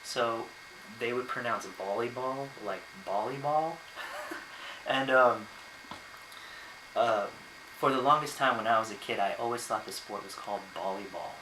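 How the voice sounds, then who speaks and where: A young American man speaks casually to camera from his bedroom, pausing often with ums and uhs. His voice sits fairly low. The recording is roomy and echoey, with steady noise underneath. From United States. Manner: hesitant, many ums and uhs. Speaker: vlogger. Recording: echoey room, noisy background.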